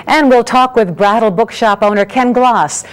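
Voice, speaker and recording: A woman's voice: fairly high pitch, moderate pace. A woman presenting and interviewing as a talk show host, with polished, articulate delivery. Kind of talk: scripted introductions and interview questions.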